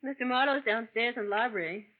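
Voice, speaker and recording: A woman's voice: a little high, fast, very noisy, lightly acted. A woman playing an anxious young accomplice. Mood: worried. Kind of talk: 1940s radio drama acting. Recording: tinny thin old radio broadcast.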